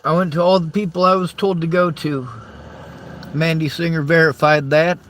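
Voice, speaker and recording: A tired man with a Southern US accent, talking slowly in a rambling monologue to camera. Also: on the high side, flat delivery, a bit bright, a little hiss or hum. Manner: slow, tired, drawling delivery. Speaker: vlogger.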